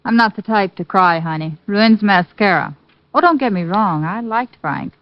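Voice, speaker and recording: An actress playing a cool, unsentimental American nightclub dancer, offhand and worldly, in an old radio detective drama. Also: female voice, medium pitch, animated, moderate pace, no echo, natural voice. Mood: cool, flirty. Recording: muffled, dry old radio broadcast recording.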